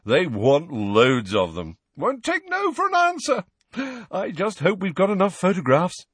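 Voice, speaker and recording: A man's voice: high-pitched, huge pitch swings, measured pace, fairly bright tone, breathy edge, no echo, pitched above the performer's own voice, heavily acted. A man voicing a vain, exuberant, childishly vacant celebrity wizard in an audiobook, with a breezy, self-satisfied manner.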